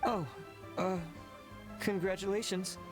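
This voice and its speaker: A man's voice: high voice, fairly even intonation, slow pace, over music. A man voicing a timid, agreeable candidate in a video game, mild and hesitant. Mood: awkward. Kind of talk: scripted video game voice acting.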